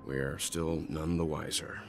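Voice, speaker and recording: Casting: thoughtful, courteous game gentleman, low register, composed, music clearly underneath. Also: flat delivery, exaggerated voice. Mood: pondering. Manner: measured, reflective, polite. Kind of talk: video game voice acting.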